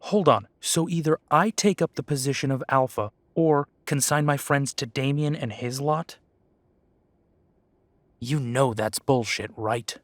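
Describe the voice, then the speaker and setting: A man's voice: medium pitch, unhurried, no room sound, natural voice. A man playing a defiant, bewildered young werewolf who is reluctant to lead, blunt and questioning, in an audio roleplay drama. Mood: frustrated, defiant.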